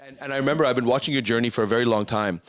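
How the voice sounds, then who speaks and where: A man's voice: mid-range voice, very fast, fairly bright tone. A man of thirty hosting a podcast, asking questions in a friendly, attentive way. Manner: friendly, attentive interviewer, some filler restarts. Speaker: podcast host. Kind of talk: podcast interview.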